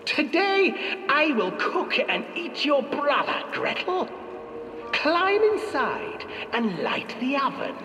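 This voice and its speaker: A man's voice: extremely high pitch, huge pitch swings, takes it slowly, bright, forceful tone, pitched above the performer's own voice, full character voice. A man voicing a cruel, hungry old fairy-tale witch who gloats and gives orders. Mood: impatient, commanding. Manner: gloating, commanding. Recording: echoey hall, distant, music behind.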